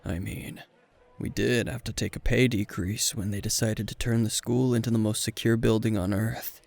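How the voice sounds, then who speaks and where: A man playing a gruff, weary, tipsy hero teacher who is dryly flirtatious, in an intimate, deadpan ASMR roleplay with a gravelly voice. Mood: dry, teasing. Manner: low-key, dry, deadpan, unhurried.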